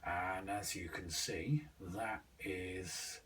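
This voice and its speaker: Deep-voiced, slow man. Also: fairly even intonation, a bit bright, distant mic, a little hiss or hum. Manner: unhurried, halting, thinking aloud. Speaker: radio hobbyist reviewer. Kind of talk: casual hands-on product demonstration.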